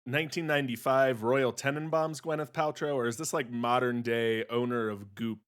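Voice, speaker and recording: A man's voice: mid-range voice, steady tone, normal speed, clean tone. A man chatting casually and jokingly as a panelist on a podcast. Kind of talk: casual comedic panel chat.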